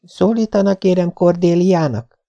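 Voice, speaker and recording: A man's voice: high voice, animated, creaky, dark tone, highs cut off. A man reading an audiobook voices a dreamy, dramatic young orphan girl with a theatrical, pleading manner.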